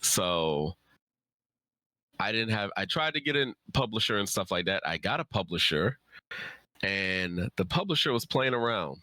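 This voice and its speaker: A man's voice: lowish voice, sing-song, measured pace, bright, forceful tone, no room sound. A man speaking as a writer guest in a casual interview, earnest and informal.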